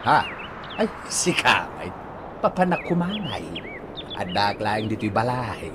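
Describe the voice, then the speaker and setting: A man's voice: lowish voice, sing-song, takes it slowly. A man with an Ilocano accent playing a boastful, doting older father in a radio comedy drama, with exaggerated, teasing delivery. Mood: playful. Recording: noisy track with chirping birds.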